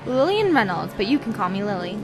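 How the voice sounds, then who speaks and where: A woman's voice: medium pitch, sing-song, fairly quick, music in the background. A woman playing a sassy, street-smart femme fatale in a radio detective drama, teasing and bold. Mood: teasing, flirty. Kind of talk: old-time radio detective drama acting.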